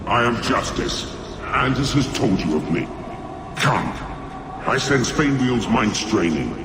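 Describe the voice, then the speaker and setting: An actor playing a solemn, commanding spirit of justice in a fantasy game, speaking formally. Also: male voice, mid-range voice, lively intonation, takes it slowly. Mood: grave, stern. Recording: echoing voice over noisy ambience.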